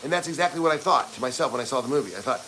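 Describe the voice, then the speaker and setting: A man's voice: medium pitch, expressive, racing, pushed and bright, a little hiss or hum. A man in his fifties chatting casually on a livestream from his home kitchen, rambling with many restarts. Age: 50s. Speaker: livestream co-host.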